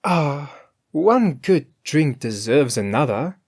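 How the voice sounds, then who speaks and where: A woman's voice: deep voice, expressive, takes it slowly, darkish tone, husky, voice pushed up, heavily acted. A storyteller voicing a cheeky, greedy female cook from a storybook, merry, emphatic and full of excuses for herself. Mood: merry, exclaiming. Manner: emphatic, exclaiming. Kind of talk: storybook character voice.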